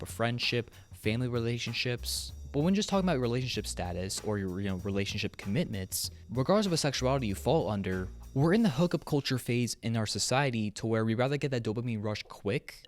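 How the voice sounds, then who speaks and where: A man delivering opinionated scripted commentary as a video host, bluntly, with casual slang and swearing. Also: medium pitch.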